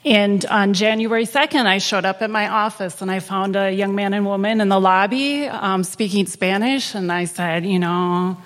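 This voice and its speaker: Woman attorney, plain explanatory delivery, mid pitch; hall reverb. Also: normal speed, hardly any pauses. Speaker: immigration attorney. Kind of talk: panel discussion.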